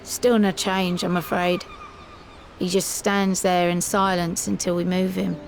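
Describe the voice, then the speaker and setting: A woman's voice: fairly low pitch, level tone, measured pace, gravelly, slight background noise. An actress voicing a polite, apologetic woman caretaker in a video game. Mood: concerned.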